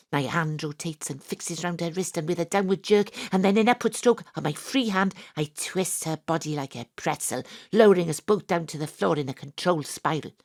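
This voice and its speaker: An actress voices a daydreaming woman detective narrating her own action scene in a live audio drama. Her voice sits low and she hams up the heroics with a wink at the audience. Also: dry recording, clean studio sound. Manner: melodramatic, self-mocking first-person narration. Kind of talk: live radio drama acting. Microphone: home studio mic.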